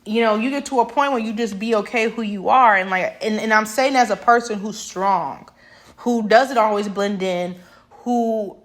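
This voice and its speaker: A woman's voice: medium pitch, normal speed. A young woman talking straight to camera in a passionate, emphatic monologue that rambles with restarts. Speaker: vlogger.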